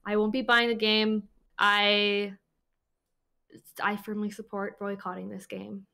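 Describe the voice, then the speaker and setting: A woman's voice: mid-range voice, completely flat delivery, slow pace, creaky, pushed and bright, smooth. A woman speaking as a panelist and streamer in a casual debate, hesitant with many restarts.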